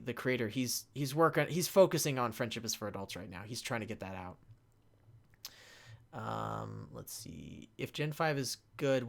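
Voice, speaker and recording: A young man with a mid-pitched voice talks casually, as a creator fielding questions from a chat. His delivery is halting and unscripted, dropping to a mumble to read and getting louder to answer.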